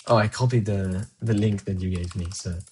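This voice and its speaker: A man's voice: lowish voice, steady tone, moderate pace, a little mellow, a little hiss or hum. Man thinking aloud while coding. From Colombia. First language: Spanish. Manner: muses aloud, answers chat between tasks. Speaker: programmer streaming live coding.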